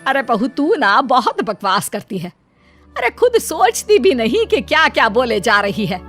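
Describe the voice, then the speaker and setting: A woman's voice: fairly high pitch, very animated, a little slow, fairly bright tone, faint music behind, full character voice. A woman playing an indignant older mother-in-law who bickers and retorts in exasperation in a cartoon family drama. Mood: exasperated.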